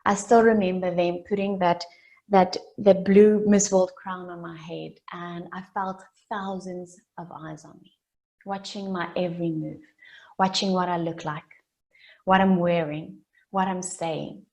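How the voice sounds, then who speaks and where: A South African woman in her late twenties, a native Afrikaans speaker, giving an earnest motivational keynote that builds its story through deliberate repetition. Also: fairly low pitch, flat delivery, gravelly, a little mellow. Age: late 20s. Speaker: summit speaker.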